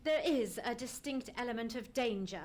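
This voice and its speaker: An actor playing a commanding, precise gentleman detective in an old-time radio play, with formal, emphatic diction. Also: medium pitch, animated, moderate pace, natural voice. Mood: musing, confiding. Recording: live stage radio play recording.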